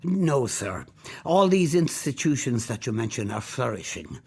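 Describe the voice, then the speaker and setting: A courteous, genteel gentleman, played by an Irish-accented man, pleads for charity in this radio play. His slightly husky voice rises and falls expressively, and his phrases trail off softly at the ends. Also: mid-range voice, big pitch swings, a little slow, heavily acted. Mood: earnest. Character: polite, genteel male charity collector, courteous.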